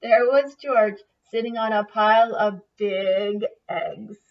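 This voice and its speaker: A woman's voice: medium pitch, slow pace. A woman teacher reading a children's picture book aloud expressively, in a distant, slightly muffled recording.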